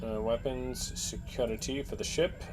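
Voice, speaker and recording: A man's voice: mid-range voice, fairly even intonation, moderate pace. A man chatting casually as a player in a tabletop role-playing game. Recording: clearly noisy background.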